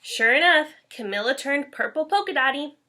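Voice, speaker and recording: A woman reads a children's story aloud like a schoolteacher. Her voice is fairly high and very bright, and her delivery is expressive. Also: normal speed. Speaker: elementary school teacher reading aloud.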